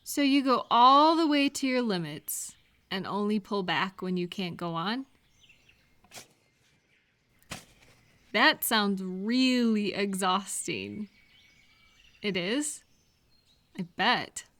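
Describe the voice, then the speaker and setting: A woman acting a caring, cheerful friend in a scripted roleplay audio, talking gently and attentively to the listener. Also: medium pitch, animated. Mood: soft sympathy. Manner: gentle, unhurried, attentive questioning with pauses for replies.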